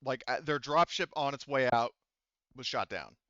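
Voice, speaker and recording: A man's voice: mid-range voice, moderate pace, a bit bright, dry recording, dull audio. A man working as game master, chatting and narrating a tabletop game in a wry, explanatory way.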